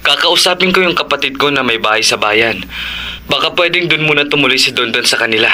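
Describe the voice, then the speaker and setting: Bright-voiced, reassuring husband. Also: male voice, medium pitch, animated, a little fast, a little hiss or hum. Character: calm, reassuring husband and father. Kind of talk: Tagalog horror audio drama acting.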